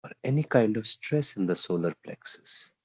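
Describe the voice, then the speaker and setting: Indian guy doing guided meditation, low husky voice, gentle, lots of pauses. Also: expressive, no room sound. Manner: gentle, unhurried pauses, simple repeated phrases. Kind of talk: guided meditation, slow calming instruction.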